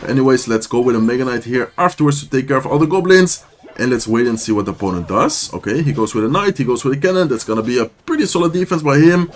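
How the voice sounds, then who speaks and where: A man with a sore throat giving fast, casual play-by-play commentary over his own gameplay. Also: medium pitch, lively intonation. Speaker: gaming YouTuber.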